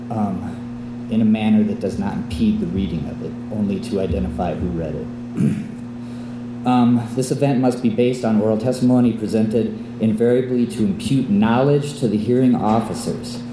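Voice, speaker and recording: A man with a somewhat low voice delivers stiff, legalistic public comment. His voice echoes through a hall, with clear noise and a hum behind him.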